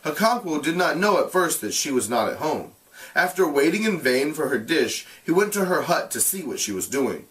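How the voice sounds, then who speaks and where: A man reading aloud like a teacher, in an expressive narrating style with deliberate, explanatory delivery. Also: a little high, recorded from a distance, poor audio.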